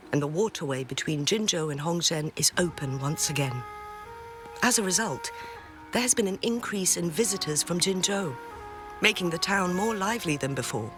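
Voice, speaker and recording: A woman's voice: lowish voice, lively intonation, normal speed, close to an everyday voice. A woman playing a courteous, composed town official in a video game, speaking politely and formally over music. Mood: polite, grateful. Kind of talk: video game character dialogue.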